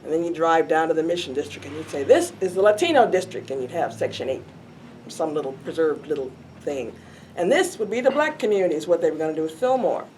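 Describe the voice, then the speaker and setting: An older woman addresses a city hall meeting in a fairly low, full voice that carries without strain. She speaks with earnest emphasis. A faint hum of room noise sits behind her. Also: expressive, fast talker. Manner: emphatic, earnest, self-described loud voice. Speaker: arts commissioner speaking at a public meeting. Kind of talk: public meeting statement.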